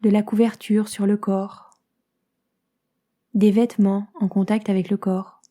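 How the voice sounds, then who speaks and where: A woman guides a yoga nidra meditation as a teacher, calm and soothing, in soft phrases that turn breathy at the ends, with many pauses. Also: medium pitch. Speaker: yoga nidra teacher guiding a relaxation session.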